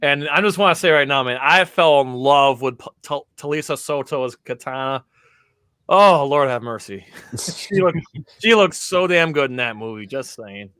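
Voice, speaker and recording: A man's voice: mid-range voice, normal speed, sharp tone, clear, resonant tone, dry recording. A man co-hosting a casual podcast chat, telling stories with enthusiasm and plenty of fillers. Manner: enthusiastic, rambling storyteller with many fillers. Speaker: podcast co-host.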